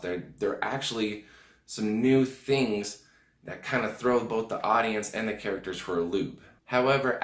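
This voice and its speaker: Hoarse low-voiced man reviewing a film. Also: a bit bright. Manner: chatty, direct address to viewers. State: hoarse, under the weather. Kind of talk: casual film review to camera. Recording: echoey room.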